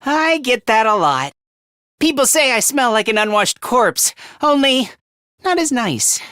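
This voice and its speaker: A timid young anime game student boy, really high voice, stammers and runs himself down, slow and swoopy. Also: very animated, bright, forceful tone, clean tone, no echo, studio quality, heavily acted. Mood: glum, self-loathing. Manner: hesitant, stammering, self-deprecating. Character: timid, self-loathing young male student fighter. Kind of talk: English video game voice acting.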